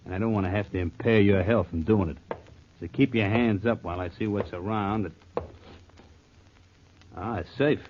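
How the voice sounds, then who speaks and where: Man acting mock-polite low-voiced American gangster gunman. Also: normal speed, long pauses, close to an everyday voice. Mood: polite threat. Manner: mock-polite, flowery vocabulary in rough street grammar. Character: polite, apologetic gangster gunman with pompous malapropisms. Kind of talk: 1940s radio crime drama acting. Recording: muffled, noisy 1940s radio recording.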